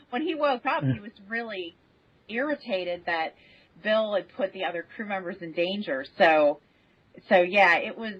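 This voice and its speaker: A woman speaking as an expert guest on a panel, explaining things in measured detail. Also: expressive, husky, no room sound. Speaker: podcast guest, space expert. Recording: muffled lo-fi audio with rumbling noise.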